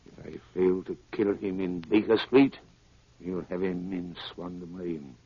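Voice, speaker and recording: A husky, low-voiced criminal mastermind. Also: male voice, steady tone, heavily acted. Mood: coldly gloating. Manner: slow, deliberate, imperious. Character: menacing male criminal mastermind, coldly scheming, commanding his henchmen. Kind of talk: 1930s radio acting. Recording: dull vintage 1930s radio recording.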